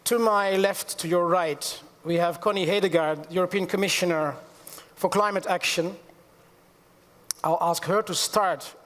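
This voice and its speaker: A man's voice: high voice, steady tone, unhurried, fairly bright tone. Middle-aged man moderating in a hall. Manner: formal, with self-corrections and light asides. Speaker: panel moderator. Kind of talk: conference panel moderation. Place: large conference auditorium.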